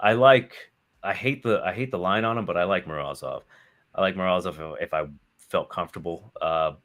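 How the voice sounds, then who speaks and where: Deep-voiced, rambling man. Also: fairly bright tone. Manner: rambling, filler-heavy, swears casually. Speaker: podcast guest. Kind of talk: casual chat.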